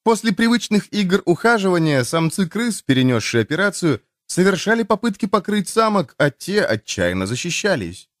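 A man narrating a nonfiction audiobook, reading a prepared text expressively with clear phrasing. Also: high voice, very animated, normal speed, few pauses, fairly bright tone, dry recording, studio quality.

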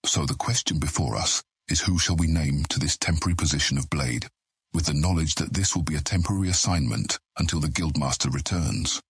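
A shrewd, commanding deputy of a thieves' guild. His booming voice is extremely low and heavily rasped. He speaks formally and deliberately in a dry studio, as in a fantasy audio drama. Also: no room sound, exaggerated voice. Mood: measured, grave. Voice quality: booming, husky. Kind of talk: full-cast fantasy audiobook acting.

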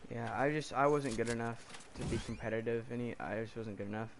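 Teen boy gamer, low voice, hesitant, keyboard clicks behind. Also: fast, noisy background. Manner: low voice, hesitant, many restarts. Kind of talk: casual gaming chat.

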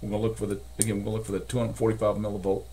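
A man's voice: on the low side, monotone, rapid-fire, mellow. An older man narrating a hands-on technical tutorial in a methodical, step-by-step way, as a technician at his workbench. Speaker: repair technician demonstrating calibration. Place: cluttered indoor electronics workshop. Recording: noisy workshop room recording.

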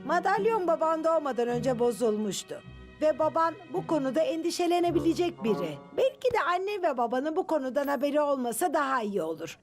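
A woman voicing a kindly, expressive storytelling grandmother in a dubbed children's cartoon. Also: sits high, fairly quick. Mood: earnest. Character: kindly grandmother, storytelling keeper of a magic locket. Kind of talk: animated children's cartoon dub. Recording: music behind the voice.

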